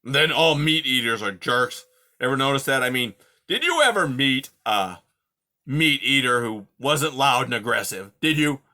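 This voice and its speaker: A man's voice: medium pitch, lively intonation, moderate pace, bright, forceful tone. A man voicing a grumpy, scornful old male porcupine who growls and insults, in a storybook reading. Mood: scornful gruff.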